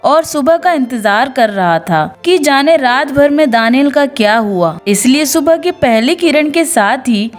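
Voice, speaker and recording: A woman's voice: high voice, animated, unhurried, few pauses. A woman narrating scripted Bible stories in an earnest devotional storytelling style. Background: background music.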